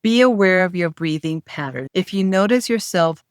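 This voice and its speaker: A woman speaks straight into a close mic, her voice smooth and resonant. The room is dry and dead, with no echo. She gives plain, direct instructions like a teacher. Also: on the low side, animated, normal speed. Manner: plain, direct advice-giving. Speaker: host and voice-care instructor. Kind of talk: instructional video talk.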